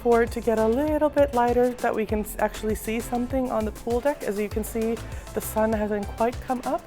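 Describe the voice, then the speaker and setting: A woman with a husky voice from a slightly sore throat, giving a relaxed walking tour of a cruise ship with frequent ums. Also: on the high side, a little fast, soft background music. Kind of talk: casual walking tour narration. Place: indoors, moving through a cruise ship.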